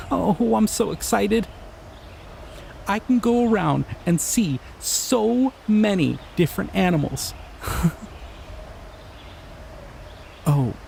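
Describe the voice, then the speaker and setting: A young man voicing a shy, excitable male slime creature in an audio roleplay, talking in an earnest, flustered, giggly way. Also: high-pitched, creaky, a little hiss or hum, exaggerated voice. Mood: excited. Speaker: voice actor.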